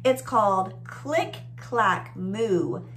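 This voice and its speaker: A woman's voice: mid-range voice, expressive, very slow, a bit bright, a little hiss or hum. A woman in her forties with an American accent, reading a picture book aloud to children and explaining things simply with questions. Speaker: children's story time host. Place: small indoor room with bookshelves.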